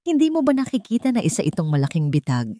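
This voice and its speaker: A woman's voice: lowish voice, wildly swooping pitch, fast, dark tone, no echo, clean studio sound. A woman voicing a stern, protective mother of a grown son in an audio drama, emphatic and pained. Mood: pained, insistent.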